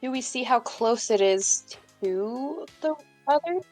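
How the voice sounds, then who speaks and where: A woman's voice: a little high, expressive, slow pace, darkish tone, faint music behind. A woman playing a tabletop game, talking through it in casual, informal, sweary chat.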